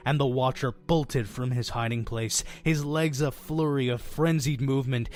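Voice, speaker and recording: A man's voice: medium pitch, natural voice. Man playing a wry, sinister innkeeper storyteller. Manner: sardonic, dramatic pauses, conversational asides. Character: wry, sinister innkeeper telling grisly horror tales. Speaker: storytelling narrator in character. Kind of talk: theatrical horror anthology narration, shifting to wry asides.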